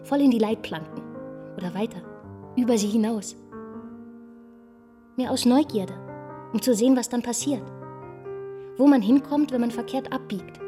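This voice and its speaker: A woman's voice: fairly high pitch, natural voice. An actress playing a restless, dreamy young woman in a radio drama, musing in a conversational way. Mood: musing, reckless. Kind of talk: radio drama monologue and dialogue.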